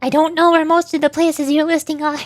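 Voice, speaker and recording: High-voiced woman chatting on a game stream. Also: flat delivery, brisk, no room sound. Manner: rambling, playful. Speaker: VTuber streamer. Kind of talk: casual game livestream chat.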